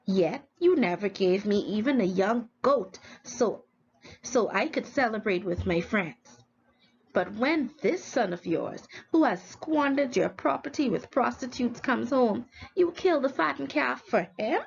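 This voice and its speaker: A resentful older brother complains indignantly in a creaky storybook voice, acted out by a woman reader. The recording is slightly dull, with faint noise. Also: a little high, lively intonation, unhurried.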